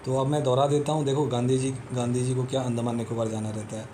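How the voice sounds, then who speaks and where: A man's voice: medium pitch, little pitch movement, fast talker, noisy background. A man teaching exam memory tricks in a casual, repetitive explanatory style, often checking in with the listener. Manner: repetitive, peppers talk with "theek hai" and "hai na"; storytelling, explains step by step and repeats.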